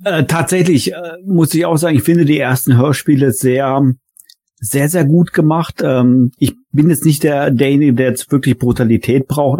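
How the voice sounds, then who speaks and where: A man with a mellow, medium-pitched voice hosts a casual fan podcast. He thinks aloud in a halting, wordy manner, recorded dry and close. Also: no echo. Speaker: podcast host. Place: remote online call.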